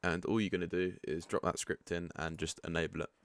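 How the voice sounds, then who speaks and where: Man, developer type, deep croaky morning voice, easygoing tutorial delivery, dry close sound. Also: a little fast, dry recording. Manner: relaxed and chatty, frank asides. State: croaky, just woken up. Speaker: product developer giving a setup tutorial. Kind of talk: casual screen-share walkthrough.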